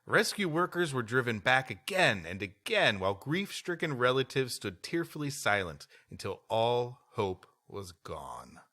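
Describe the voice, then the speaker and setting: A man with a bright, punchy voice and a very animated pitch co-hosts a comedy podcast. He moves between mock-solemn reading of history and off-the-cuff joking banter. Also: measured pace, few pauses. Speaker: podcast co-host. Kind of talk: reading a history text aloud with improvised comic banter.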